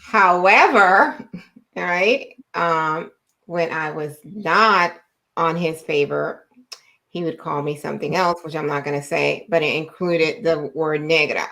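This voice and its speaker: Female panelist with a low voice; hesitant, conversational, personal storytelling full of pauses. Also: vocal fry, pushed and bright, poor audio. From United States, with time in Puerto Rico and the Dominican Republic. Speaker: teacher on a discussion panel. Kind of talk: sharing personal experience in a panel talk.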